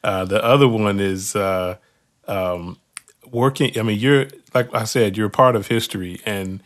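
A man co-hosting an interview, asking long, halting questions full of fillers and restarts. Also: lowish voice. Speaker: co-host.

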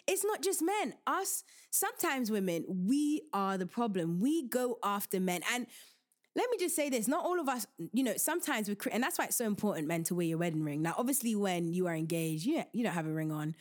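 Animated, joking woman podcast host. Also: a little high, brisk. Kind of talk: casual advice talk.

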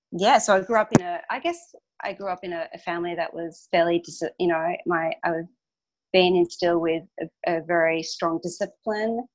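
Aussie woman reflecting in an interview, lowish voice, hesitant, bit of a dull recording. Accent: Australian. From Melbourne, Australia. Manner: hesitant, searching for words. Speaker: podcast guest, yoga teacher.

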